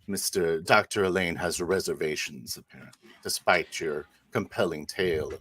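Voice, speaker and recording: A man's voice: deep voice, normal speed, pushed and bright, breathy edge, no echo. A man improvising as a devout, genteel inquisitor priest in a tabletop roleplaying game, with a hesitant, insinuating manner. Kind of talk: improvised in-character roleplay and narration.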